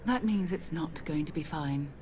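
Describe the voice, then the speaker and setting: An actress playing a dry, sardonic spacefaring companion, wry and understated, in video game dialogue. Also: female voice, low voice, normal speed, darkish tone, close to an everyday voice.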